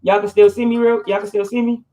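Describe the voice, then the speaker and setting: A woman's voice: mid-range voice, level tone, fast talker, warm tone. A woman guest rapper chatting in casual slang on a live stream.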